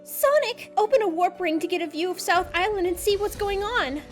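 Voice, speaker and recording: Woman voicing a high-pitched, earnest young cartoon inventor. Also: a little fast, faint music behind. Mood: urgent, informative. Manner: eager, expository, earnest. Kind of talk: animated fan-dub dialogue.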